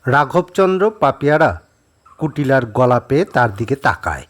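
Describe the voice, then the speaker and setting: A man's voice: medium pitch, sing-song, moderate pace. A man narrating a horror audio story as a dramatic scripted reading.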